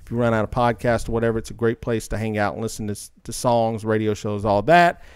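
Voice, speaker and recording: A male podcast host with a clear, smooth voice talks casually and loosely through his announcements. He rambles in an informal, buddy-like way, and the sound is dry and close. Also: fairly low pitch, quick pace, no room sound. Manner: informal, rambling, says man a lot. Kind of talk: casual chat, show announcements.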